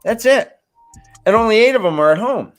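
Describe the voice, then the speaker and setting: A man on a sports panel talking casually, thinking aloud in halting phrases. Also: high voice, lively intonation, faint music behind. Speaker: fantasy football panelist.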